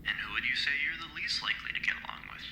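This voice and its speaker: A man's voice: medium pitch, wildly swooping pitch, racing, gravelly, pushed and bright, soft background music, full character voice. A man playing a polite, businesslike interrogator in an audio drama, speaking in a formal, clipped, courteous way. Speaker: voice actor in a fan audio drama. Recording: roomy echo, faint background noise.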